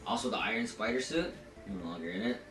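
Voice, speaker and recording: A man's voice: on the low side, fast. Echoey, distant male podcast co-host, casual. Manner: informal, slangy, sweary. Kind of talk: casual chat about films and pop culture. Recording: echoey room, distant mic, music behind. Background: music.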